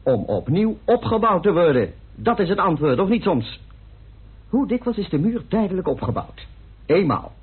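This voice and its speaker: A man's voice: high voice, very animated, normal speed, slightly rough, full character voice. An actor playing an argumentative male amateur detective in a radio play, reasoning emphatically with rhetorical questions. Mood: argumentative, emphatic. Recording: muffled old radio recording.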